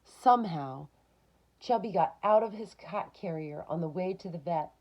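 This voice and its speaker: A woman's voice: fairly low pitch, moderate pace, a little mellow, highs cut off. A woman reading a picture book aloud to children, expressively, with short asides of her own.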